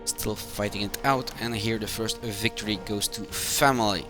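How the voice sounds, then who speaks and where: A man from Belgium, a native Dutch speaker with a Belgian Dutch accent, commentates a live game stream in halting, short phrases. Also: on the low side, steady tone, over music. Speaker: esports match commentator, community manager.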